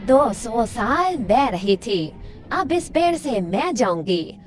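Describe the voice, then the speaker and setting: A wicked old witch from a children's moral story, acted out by a woman. She draws her words out slowly and menacingly, with a swooping, scheming delivery. Music plays behind her. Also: on the high side, sing-song.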